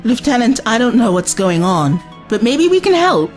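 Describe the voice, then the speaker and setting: A woman plays an earnest, anxious civilian in a wartime audio drama. Her fairly high voice moves expressively and urgently, with faint music behind it. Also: sing-song, normal speed. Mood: earnest, persuasive. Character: earnest, anxious female civilian companion in a war zone.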